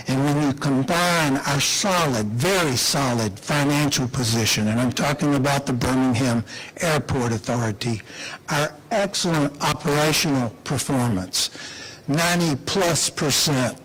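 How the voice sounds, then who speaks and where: A man speaking as an official at a public announcement, deliberate and emphatic, repeating phrases with rhetorical pauses. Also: a little high, a bit bright, poor audio. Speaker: airport official giving an announcement speech. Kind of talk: public speech at a press announcement.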